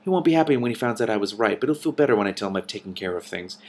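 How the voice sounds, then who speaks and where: A man's voice: fairly low pitch, animated, racing. A man doing a pompous, petulant wizard villain. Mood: smug, gloating. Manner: superior, pompous. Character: smug, theatrical male wizard villain, petulant when thwarted.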